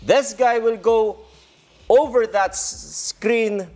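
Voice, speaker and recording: A middle-aged man, a coach explaining basketball plays in a deliberate, emphatic teaching manner in a TV studio. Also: high voice, a little mellow. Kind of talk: explanatory play breakdown.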